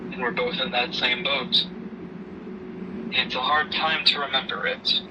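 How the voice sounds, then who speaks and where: A man playing a grieving widowed father who gently counsels his daughter in a halting, measured way in an amateur audio drama. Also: flat delivery, muffled recording, lightly acted. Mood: grieving, subdued. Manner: halting, subdued, measured delivery. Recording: noisy rumbling background, distant mic.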